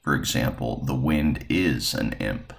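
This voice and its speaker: A man's voice: rumbling low, unhurried. A man teaching a class, plainly explaining and telling students to follow along.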